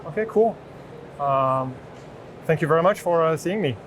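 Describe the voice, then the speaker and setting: Higher-voiced Frenchman at a noisy expo. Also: expressive, normal speed. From France. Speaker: chief product officer demonstrating a product. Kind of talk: unscripted product demo. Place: open booth in large indoor exhibition hall. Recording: noisy trade show hall background.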